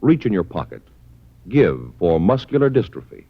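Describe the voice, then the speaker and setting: American announcer guy, low but swingy voice, old dull radio recording, polished. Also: sing-song, normal speed, vocal fry, background noise. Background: brass music.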